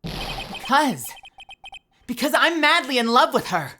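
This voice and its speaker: A woman's voice: high voice, big pitch swings, takes it slowly, pushed and bright, slight background noise, pitched above the performer's own voice, exaggerated voice. A woman voicing a flustered young male lawyer who talks earnestly and naively.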